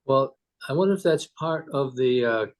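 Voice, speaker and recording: A man's voice: medium pitch, lively intonation, moderate pace. A man talking casually on a technical group call as a club member asking repair questions, thinking aloud in a hesitant way.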